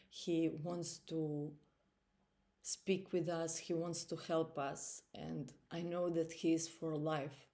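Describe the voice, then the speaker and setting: A woman in her forties with a Croatian accent, giving a slow, earnest personal testimony with measured pauses. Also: low-pitched, darkish tone. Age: 40s. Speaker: testimony speaker.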